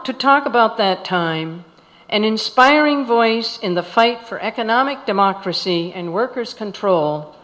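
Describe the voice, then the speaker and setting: A woman's voice: mid-range voice, a bit bright. A woman presenting at a live event, reading a scripted speech in a large auditorium. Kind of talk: scripted speech introducing a speaker. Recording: echoey hall with long reverb.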